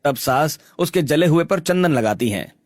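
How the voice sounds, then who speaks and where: A man's voice: on the high side, expressive, moderate pace. A man narrating a scripted cartoon story in Hindi as its storyteller.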